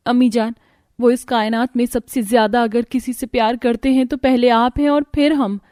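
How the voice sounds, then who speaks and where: Woman as a gentle, pleading young bride. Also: on the high side, steady tone, moderate pace, dry recording, clean studio sound, natural voice.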